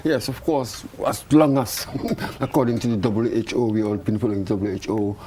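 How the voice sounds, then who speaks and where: A man's voice: fairly low pitch, steady tone, normal speed, mellow, slight background noise. A man from Gambia speaking as a studio guest, emphatic and tripping over repeated words. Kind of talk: casual discussion.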